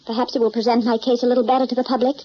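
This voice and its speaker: An actress playing a poised, wealthy widow in an old crime drama, formal, composed and courteous. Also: female voice, fairly high pitch, flat delivery, rapid-fire, natural voice. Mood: earnest. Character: poised, genteel, soft-mannered wealthy widow. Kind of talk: mid-century American crime drama acting. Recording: muffled, noisy old drama soundtrack.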